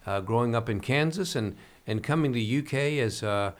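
Male interview host, halting speech. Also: medium pitch. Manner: halting, with many fillers and restarts. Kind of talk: conversational interview.

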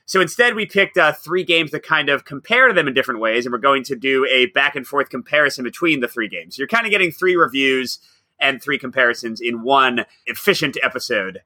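Bright, upbeat man teasing his co-host. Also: medium pitch, expressive, fairly quick, no room sound. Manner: upbeat and teasing, laughs often. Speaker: podcast co-host. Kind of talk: casual podcast chat.

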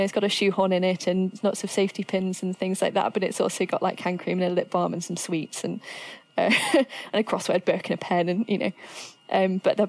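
Young woman, theatre dresser, chatty and rambly, pretty flat even tone. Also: medium pitch, rough recording. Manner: rambling, full of restarts and fillers. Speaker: theatre dresser and former student. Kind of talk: casual interview answers.

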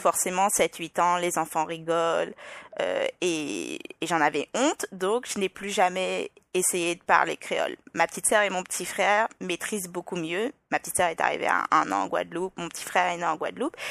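Parisian woman, kinda low and gravelly, talks it through slowly w lots of restarts, dry close sound. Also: fairly bright tone, no room sound. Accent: Parisian French. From Île-de-France, France. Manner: thoughtful, with frequent restarts and hesitations. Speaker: podcast guest. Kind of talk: reflective personal interview.

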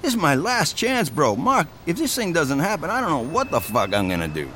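An actor playing a desperate, washed-up friend, slangy and foul-mouthed, pleading bitterly in a naturalistic audio drama. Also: male voice, a little high, huge pitch swings, brisk, crowd noise. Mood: desperate, exasperated.

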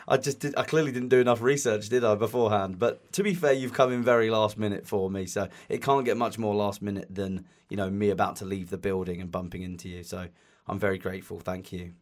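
A man's voice: on the low side, fairly even intonation, fast talker, few pauses, dry recording. A man hosting a podcast interview, chatty and a little self-deprecating. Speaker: podcast host. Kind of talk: casual interview chat.

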